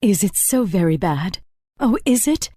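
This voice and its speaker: A woman's voice: medium pitch, expressive, a little slow, warm tone, husky, no echo, clean studio sound. A woman playing an anxious, frivolous English mother in a children's storybook, with a distraught, dramatic manner. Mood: alarmed.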